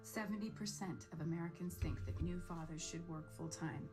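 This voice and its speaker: A woman reads scripted documentary narration in a lowish voice. Music plays clearly underneath her. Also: moderate pace.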